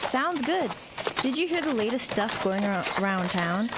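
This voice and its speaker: A woman's voice: fairly high pitch, sing-song, normal speed, heavy background noise. A woman playing a friendly, easily alarmed horse-riding girl in an audio drama. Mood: friendly, chatty.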